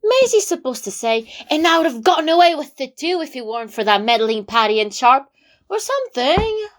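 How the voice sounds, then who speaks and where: An eager, self-important sleuth acted by a woman talks in a very high, animated voice. The delivery is theatrical, suited to a comic whodunnit. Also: a little fast. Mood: excited.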